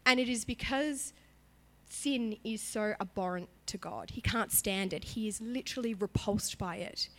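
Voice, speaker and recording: A woman worship leader giving a sermon in a church, chatty and animated in her emphasis, her voice partly gone from illness. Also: medium pitch, moderate pace. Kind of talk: sermon with prayer.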